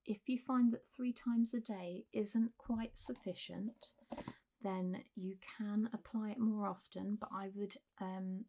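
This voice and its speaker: A woman doing a soft, half-whispered ASMR roleplay as a gentle, reassuring doctor talking a patient through care, in a close recording with faint hiss. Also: mid-range voice, gravelly. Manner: gentle, reassuring, unhurried doctor's bedside talk. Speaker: ASMR performer playing a clinic doctor.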